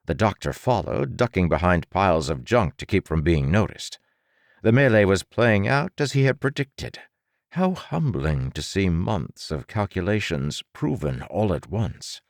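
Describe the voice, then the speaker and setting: A man narrating fiction for an audiobook in a dramatic, measured manner. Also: low voice, gravelly, no echo, clean studio sound.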